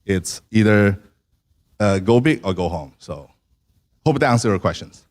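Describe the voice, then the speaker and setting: A man, a startup founder, answering audience questions in a halting way, often stopping to search for words. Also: on the low side, lively intonation, darkish tone. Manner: halting, many fillers and restarts.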